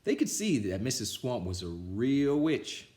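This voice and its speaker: A man's voice: mid-range voice, big pitch swings, unhurried, darkish tone. A man reading a children's story aloud as a storytime narrator. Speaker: storybook reader.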